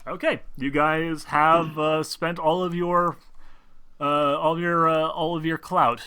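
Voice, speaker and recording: A man acting as game master, casually explaining tabletop game rules in a halting, stumbling, sweary way. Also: on the high side, flat delivery, bright, forceful tone, slight background noise.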